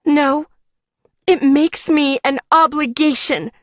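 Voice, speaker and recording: A woman's voice: high-pitched, takes it slowly, dry recording, clean studio sound, voice pushed up. A woman voicing a weary, insecure young woman in an audiobook, stubborn, bitter and defiant. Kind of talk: audiobook character dialogue.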